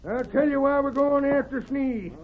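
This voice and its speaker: Folksy Western sheriff, rustic, excitable, very high pitch, muffled vintage radio. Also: male voice, normal speed. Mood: excited explaining. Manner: rustic drawling cowboy talk. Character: excitable, folksy male frontier sheriff on horseback. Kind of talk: 1940s Western radio acting. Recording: muffled old radio recording, loud noise.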